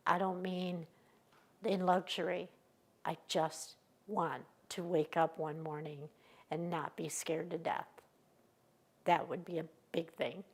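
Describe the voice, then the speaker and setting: A woman in her fifties from Michigan speaking earnestly and with determination in a news interview, pausing often as she talks. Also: fairly low pitch, level tone. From Michigan, USA. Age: 50s. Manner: earnest, determined, halting with pauses.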